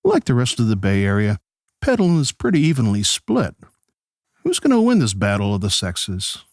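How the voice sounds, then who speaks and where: An actor playing a showy 1911 radio host who announces and moderates a debate in a radio play. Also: male voice, fairly low pitch, huge pitch swings, normal speed, no room sound, studio quality. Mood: probing. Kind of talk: old-time radio show hosting.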